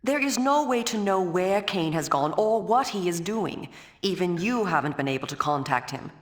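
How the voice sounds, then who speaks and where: An actress playing a determined, loyal vampire rebel in a fantasy game, speaking earnestly and formally. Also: female voice, lowish voice, lively intonation, moderate pace, natural voice. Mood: reproachful. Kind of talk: theatrical fantasy game cutscene acting.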